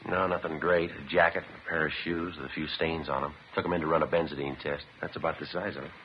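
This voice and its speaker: An actor playing a terse, methodical police detective in a 1950s radio drama, matter-of-fact and understated in both dialogue and narration. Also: male voice, a bass voice, fairly even intonation, fast talker. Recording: noisy, dull vintage 1950s radio recording.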